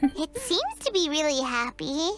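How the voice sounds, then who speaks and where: A woman voicing a small, excitable, childlike fairy companion in a video game, squeaky and quick to scold. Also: loud music behind the voice. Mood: curious. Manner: speaks of herself in third person.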